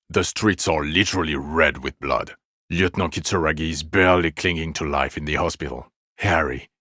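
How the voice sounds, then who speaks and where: Casting: exasperated male detective for a game, extremely low voice, sardonic, profane, accusatory, recorded close and dry. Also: a bit bright, no room sound. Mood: bitter, sarcastic. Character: bitter, exasperated male police detective berating his drunk partner. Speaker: video game voice actor. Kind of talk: dramatic game dialogue, scolding.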